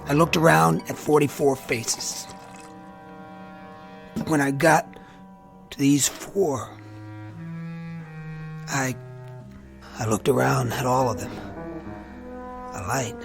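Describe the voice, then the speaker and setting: An actor playing a troubled, weary army lieutenant in a radio drama, speaking in a halting, brooding, intense way. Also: male voice, medium pitch, fairly even intonation, gravelly. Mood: haunted. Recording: radio drama with music underneath.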